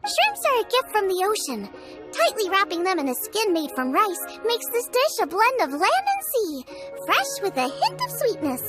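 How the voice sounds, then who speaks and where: A woman's voice: very high-pitched, wildly swooping pitch, normal speed, heavily acted. A woman voicing a childlike, eager, bubbly girl character in a video game, with music behind her. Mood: proud, cheerful.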